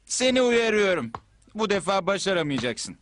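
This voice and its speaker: A man voicing a determined, menacing boy striker in an animated cartoon dub, taunting his rival. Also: high-pitched, normal speed. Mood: threatening, taunting. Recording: loud music behind the voice.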